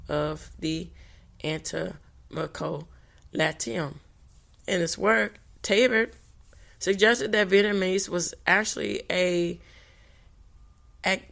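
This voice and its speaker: A woman's voice: on the low side, gravelly, a bit bright. A woman podcast host, reading notes for a language talk, often stumbling and restarting on hard words. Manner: halting, with restarts on hard words and brief apologies.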